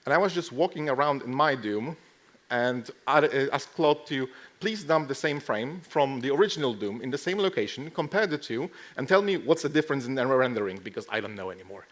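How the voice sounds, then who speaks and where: Male conference speaker, mid-pitched, casual lightning-talk style, deadpan humour, explaining tech to a live audience. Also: fairly quick. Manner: dry, joking delivery with deadpan asides.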